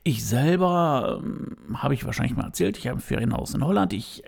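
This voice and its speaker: A man with a gravelly, creaky voice at an ordinary pitch talks through his opinion to his listeners. He keeps pausing, restarting and filling gaps with small sounds. His tone stays earnest and direct throughout. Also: animated. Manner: hesitant, many fillers and restarts. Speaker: podcast host. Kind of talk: unscripted opinion monologue.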